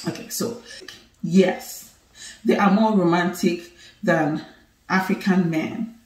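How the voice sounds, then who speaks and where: A woman's voice: lowish voice, takes it slowly, a little hiss or hum. Laughing Nigerian woman chatting. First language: Igbo. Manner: animated, laughing, playful and teasing. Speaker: co-host of a couple's channel. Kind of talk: casual chat to camera. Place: living room, indoors. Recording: roomy, somewhat distant sound.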